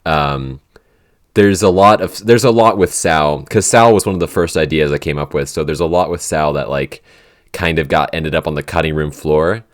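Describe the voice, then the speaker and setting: A man's voice: rumbling low, normal speed, no room sound, clean studio sound. A man hosting a casual podcast Q&A, talking in a rambling, informal way. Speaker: podcast host and show's writer. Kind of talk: casual Q&A chat.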